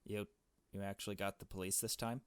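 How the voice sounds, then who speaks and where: A man reads an anxious young captive woman from a visual novel. She is hesitant and unsure of herself, trusting her friend, in a lowish voice with a dry sound. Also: little pitch movement, fairly quick, clean tone, no room sound, lightly acted. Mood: nervous. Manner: uncertain, hesitant.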